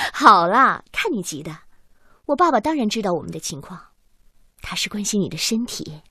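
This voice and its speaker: An actress playing a caring, teasing young woman researcher in a Chinese radio drama, expressive and affectionate. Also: medium pitch, moderate pace, breathy edge, no echo, clean studio sound, full character voice. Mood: gently teasing. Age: young adult.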